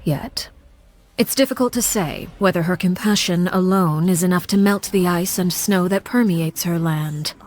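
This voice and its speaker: A woman voicing a cold, composed, commanding woman in a video game, speaking in a measured, formal, aloof and quietly menacing way. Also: on the low side, close to an everyday voice. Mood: cool, measured. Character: cold, composed, commanding woman, a Harbinger, judging her colleagues. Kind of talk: scripted video game character monologue.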